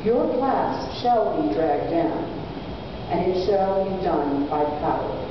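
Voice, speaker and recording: A woman reading aloud as a quiet, resolute working-class male revolutionary, with measured, insistent oratory from a literary work. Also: lowish voice, animated. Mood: defiant, resolute. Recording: echoey hall, distant mic, muffled, noisy.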